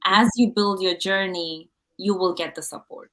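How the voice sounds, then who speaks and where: Talk show guest: woman, Indian accent, Malayalam mother tongue, medium pitch, chatty and candid. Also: fairly even intonation, normal speed, bright, forceful tone, rough recording. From Kerala, India. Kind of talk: casual interview, telling personal stories.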